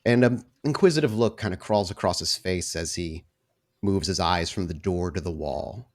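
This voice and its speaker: A man's voice: low voice, normal speed. A man narrating a tabletop roleplaying game as game master, with descriptive, cinematic scene-setting.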